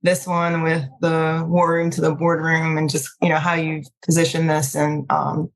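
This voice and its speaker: A woman's voice: sits low, little pitch movement, normal speed, creaky, low-quality recording. A middle-aged woman, talking informally as an interview guest, peppering her speech with conversational filler phrases. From Trinidad, then Maryland, United States. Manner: informal, frequent "like" and "you know". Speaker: podcast guest.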